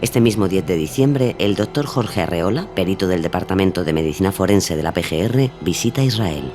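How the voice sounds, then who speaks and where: A man's voice: low voice, animated, fairly quick. A man delivering scripted documentary narration as the narrator of an audio series. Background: soft music.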